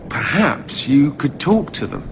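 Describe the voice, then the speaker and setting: A man playing an earnest, worried knight captain in a fantasy video game, speaking formally and gravely. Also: a little high, big pitch swings, unhurried. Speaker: game character. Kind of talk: dramatic video game dialogue. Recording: noisy game audio.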